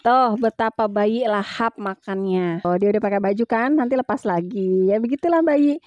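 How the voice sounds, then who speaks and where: A woman's voice: mid-range voice, moderate pace, darkish tone, dry recording. A woman with an Indonesian accent narrating a home vlog as a mother, in a chatty, colloquial way.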